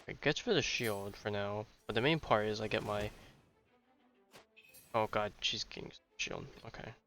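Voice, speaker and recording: A man's voice: on the low side, unhurried, bright, forceful tone. A man giving casual live commentary on a game, rambling as he thinks aloud. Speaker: game streamer. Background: game music.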